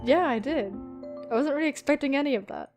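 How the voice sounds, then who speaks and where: A young woman hosting a game playthrough, excitable and giggly, switching between reading aloud and reacting. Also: high-pitched, fast talker, music in the background. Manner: excitable, squealing, giggly, swearing. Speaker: gaming video host.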